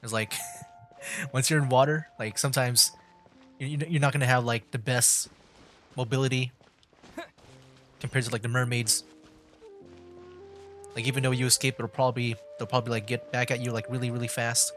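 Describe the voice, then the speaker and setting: A man's voice: medium pitch, level tone, moderate pace, pauses often, fairly bright tone. A man doing casual, rambling livestream commentary on a game, sometimes reading text aloud. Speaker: livestream gamer, VTuber. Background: game music.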